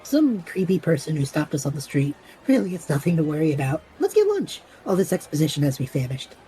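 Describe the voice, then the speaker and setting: A woman's voice: deep voice, big pitch swings, fairly quick, dark tone, slight background noise. A woman voicing a cocky, flippant young male student adventurer in an audio drama, breezy, sarcastic and slangy. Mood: casual, flippant.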